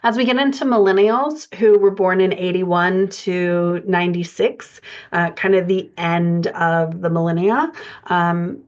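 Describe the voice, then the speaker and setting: A woman's voice: on the low side, fairly even intonation, unhurried, highs cut off. A middle-aged American woman giving an informal explanatory podcast lesson as a writing coach, thinking aloud in a hesitant way. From United States.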